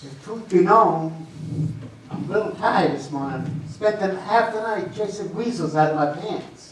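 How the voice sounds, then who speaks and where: A folksy, talkative small-town working man acted by a man in a stage comedy, with a fairly high voice. He rambles and needles in plain colloquial talk, heard from a distance in a very echoey room. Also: unhurried. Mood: rambling, weary. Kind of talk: amateur readers theater comedy. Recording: echoey room, distant mic, faint noise.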